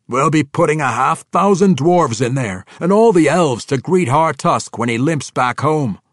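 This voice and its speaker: A man voicing a gruff, blustering dwarf king from a fantasy audiobook, battle-hungry and growling, with a rustic dialect. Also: on the high side, animated, normal speed, dry recording, clean studio sound, pitched above the performer's own voice. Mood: commanding.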